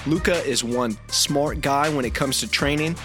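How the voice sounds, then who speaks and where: A man's voice: mid-range voice, normal speed, over music. A man hosting a podcast in a punchy, informal way, moving between promotional intros and casual chat. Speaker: podcast host.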